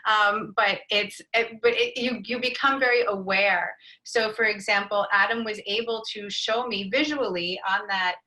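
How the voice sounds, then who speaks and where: A woman's voice: medium pitch, fairly even intonation, moderate pace, hardly any pauses, bright, forceful tone, poor audio. A woman panelist talking casually, with a candid and self-deprecating way of speaking.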